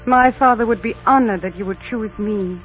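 A woman's voice: a little high, normal speed, a bit bright, close to an everyday voice. An actress playing a devout, tender young Aztec princess resigned to her fate, in earnest, formal 1940s radio romance style. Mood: solemn, resigned. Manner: earnest, melodramatic, formal diction. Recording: dull 1940s radio recording, music behind.

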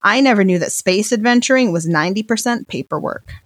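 A woman playing a flippant, self-serving cult leader in an audio drama, with a glib, deadpan way of talking. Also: medium pitch, brisk, no echo, studio quality, lightly acted. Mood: glib, casual.

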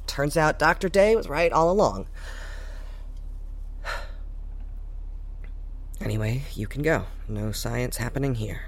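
A woman playing a frustrated, self-critical scientist in an audio drama, muttering in a halting, exasperated way. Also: extremely low pitch, expressive, slight background noise, exaggerated voice. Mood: defeated, bitter. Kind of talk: naturalistic audio drama acting.

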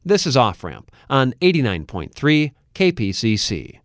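A man's voice: mid-range voice, very animated, a little slow. A man hosting a public radio show with scripted presenting. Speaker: radio host. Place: dry studio.

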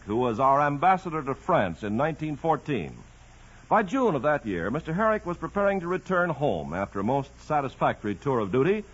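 A man's voice: mid-range voice, fairly bright tone, breathy edge. A man reading scripted narration as an announcer on vintage radio. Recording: muffled old radio broadcast.

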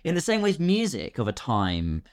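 A British man talking casually as a podcast guest, with an expressive way of telling things. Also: medium pitch, moderate pace, dry recording, clean studio sound. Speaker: podcast guest, filmmaker and voice actor. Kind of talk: casual conversational interview.

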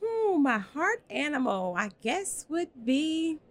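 Bubbly woman with a lively voice. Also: sits high, takes it slowly. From United States. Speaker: veterinary professional, guest.

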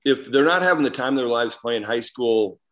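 A man's voice: medium pitch, lively intonation, fairly quick, fairly bright tone. Blunt man co-hosting a casual sports podcast. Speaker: podcast co-host, former player.

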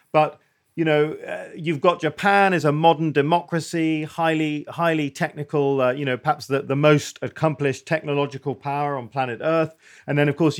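A man hosting an interview podcast, putting questions in a conversational, articulate way with frequent asides. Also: on the high side.

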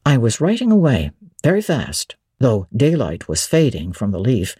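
A woman voicing a brooding, teasing middle-aged gentleman, commanding and whimsical, in a dramatic audiobook reading of a classic novel. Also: very deep voice, huge pitch swings, normal speed, husky, no room sound, studio quality, voice pushed down, heavily acted. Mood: wistful storytelling. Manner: whimsical, authoritative, theatrical.

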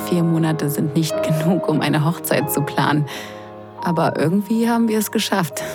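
A woman's voice: deep voice, normal speed, over loud music, close to an everyday voice. A woman acting a sarcastic, flustered young sister of the groom in an intimate, confiding audio drama. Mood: exasperated, confiding. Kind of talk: intimate first-person audio drama narration and dialogue.

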